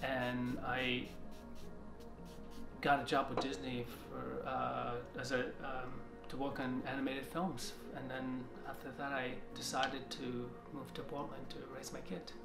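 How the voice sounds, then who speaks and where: A man in his forties from China, talking in a soft, halting way with many restarts during a seated interview in an art studio. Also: mid-range voice, monotone, gravelly. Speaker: artist. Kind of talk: reflective interview talk. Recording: roomy studio sound with music behind.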